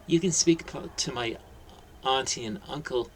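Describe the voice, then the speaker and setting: Man doing a timid polite little kid voice, higher up. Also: normal speed, a little hiss or hum, close to an everyday voice. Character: small meek obedient boy, innocent.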